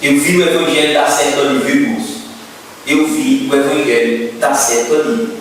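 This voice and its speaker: Earnest Brazilian deacon in an echoey hall. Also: male voice, medium pitch, moderate pace. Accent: Brazilian Portuguese. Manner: earnest, repeats phrases, addresses listeners as brethren. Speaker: Sunday school teacher and deacon. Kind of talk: church class announcements, prayer requests. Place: church classroom. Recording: echoey hall, distant mic, faint noise.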